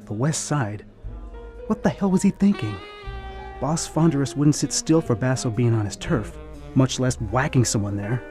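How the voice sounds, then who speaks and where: An actor playing a loyal, protective nightclub boss in a noir radio drama, plain-spoken and quietly affectionate. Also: male voice, mid-range voice, close to an everyday voice.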